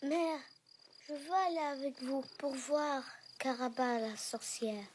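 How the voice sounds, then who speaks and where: An actor playing a tiny, bold and curious little boy in an animated film, with direct, persistent questions. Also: high voice, slow, a little hiss or hum. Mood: earnest.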